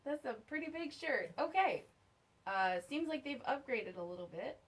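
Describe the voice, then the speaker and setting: A woman's voice: sits high, expressive, normal speed, husky. A woman vlogger giving a casual, unscripted review to camera, candid and wry, with conversational asides.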